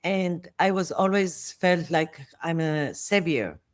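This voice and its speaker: A middle-aged woman whose first language is Hebrew, a therapist guest telling a personal story in English, often pausing and restarting as she searches for words. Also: on the low side. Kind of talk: interview answers, personal story.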